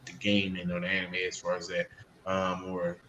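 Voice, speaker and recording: Hesitant low-voiced man in an echoey room. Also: completely flat delivery, normal speed, bright, forceful tone. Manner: hesitant, full of fillers. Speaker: panel guest. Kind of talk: casual video call chat. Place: home room on a video call. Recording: dull roomy home mic with faint hum.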